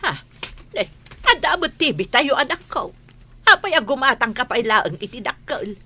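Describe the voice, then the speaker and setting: Casting: worried rural mother, sharp bright tone, swooping pitch, Ilocano accent, radio drama. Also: big pitch swings, normal speed, a little hiss or hum, full character voice. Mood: pleading. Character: astonished, protective village mother of grown children.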